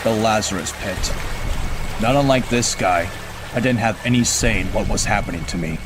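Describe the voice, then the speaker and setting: A man's voice: fairly low pitch, moderate pace, background noise, close to an everyday voice. A man voicing a brooding young vigilante antihero in a comic-book cartoon, speaking in a dry, sardonic way. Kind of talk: comic-book cartoon acting with inner monologue. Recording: music behind the voice. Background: music.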